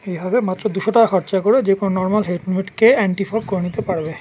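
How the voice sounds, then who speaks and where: A product reviewer talks straight to the viewer in an informal, explanatory way. The voice sits at a middle pitch. The recording carries clearly audible ambient noise behind the practical, hands-on commentary. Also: steady tone, racing, a little mellow, lo-fi sound. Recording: clearly noisy ambient background.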